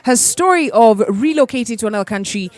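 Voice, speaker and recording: A woman's voice: fairly high pitch, expressive, a little fast, darkish tone, dry recording, faint music behind. Chatty Kenyan woman hosting radio. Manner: chatty, mixes English with Swahili slang. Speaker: radio host. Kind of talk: morning radio talk show.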